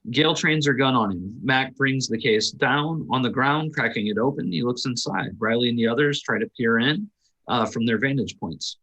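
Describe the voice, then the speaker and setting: Male narrator for script directions, medium pitch, a little rasp, reading aloud. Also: normal speed, a bit bright, rough recording. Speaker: reader of stage directions at a table read.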